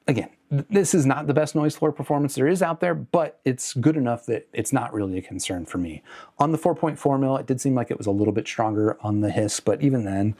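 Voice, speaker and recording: A man's voice: fairly low pitch, darkish tone. A man speaking casually and off the cuff as a review host, hedging and correcting himself as he goes. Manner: conversational, hedging, self-correcting. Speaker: host of an audio gear review channel. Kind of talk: casual unscripted product review to camera.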